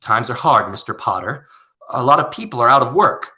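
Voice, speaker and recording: A man's voice: mid-range voice, lively intonation, brisk, pushed and bright, lo-fi sound. Man as an earnest, harried father. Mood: pleading. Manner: earnest, pleading. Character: decent, harried middle-aged father, kind but firm. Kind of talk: radio play acting.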